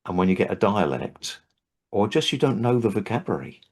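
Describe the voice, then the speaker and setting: An older man, a trainer hosting a relaxed interview, talking thoughtfully with frequent restarts and hesitations. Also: fairly low pitch, fairly quick, breathy edge. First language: English. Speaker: Havening trainer and host. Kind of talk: casual interview chat.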